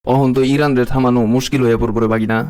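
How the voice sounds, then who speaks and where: A man as a fast, flat-toned bossy cartoon uncle. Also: medium pitch, background noise, natural voice. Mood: scolding, exasperated. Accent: Bangladeshi. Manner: colloquial, scolding. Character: bossy, scolding cartoon uncle, a world leader. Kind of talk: comic animated satire dubbing.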